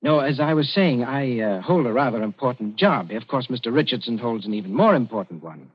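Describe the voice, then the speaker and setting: An actor playing a cool young colonial official, speaking in a dry, unhurried way in a 1940s radio drama. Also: male voice, medium pitch, lively intonation, breathy edge. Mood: calm. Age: young adult. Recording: dull 1940s radio broadcast.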